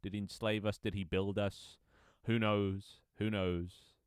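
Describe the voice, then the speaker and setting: A man's voice: on the low side, flat delivery, unhurried, clear, resonant tone, no echo, studio quality. A man in his twenties streaming his own game, chatting casually with viewers, rambling with frequent ums and restarts. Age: 20s. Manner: rambling, lots of ums and restarts, reads chat aloud. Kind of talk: casual live-stream chat.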